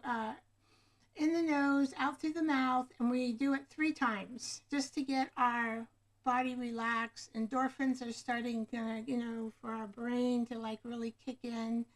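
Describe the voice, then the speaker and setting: A woman's voice: sits high, steady tone, measured pace, rough recording. A woman hosting a casual craft livestream, rambling along and chatting directly with her viewers. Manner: rambling, chatty, often addressing viewers by name. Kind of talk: casual live chat with viewers. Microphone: camera microphone.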